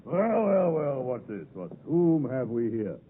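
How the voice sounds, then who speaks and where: Gruff, blustering gentleman, muffled radio. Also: medium pitch, very animated, unhurried. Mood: stern. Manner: blustering, stern. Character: gruff, overbearing middle-aged gentleman suspicious of boys. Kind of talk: 1950s radio drama acting. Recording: muffled narrow-band 1950s radio recording.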